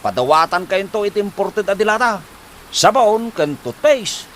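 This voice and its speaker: A man's voice: sits high, animated, normal speed, fairly bright tone, slight background noise. A man playing a pushy, scheming Ilocano husband. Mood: boasting. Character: scheming husband urging his wife abroad. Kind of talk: comedy drama acting.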